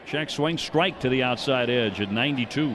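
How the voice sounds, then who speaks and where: A man's voice: medium pitch, moderate pace. A man calling live baseball play-by-play on the radio in descriptive, clipped phrases that follow the action. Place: ballpark broadcast position. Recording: noisy outdoor stadium ambience. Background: steady outdoor stadium noise.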